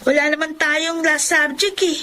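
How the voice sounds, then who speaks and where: High-voiced, pushy schoolgirl friend. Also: steady tone, normal speed, sharp tone. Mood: coaxing, scornful. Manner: coaxing, insistent. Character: pushy, carefree schoolgirl friend who urges rebellion. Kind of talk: Tagalog radio drama acting.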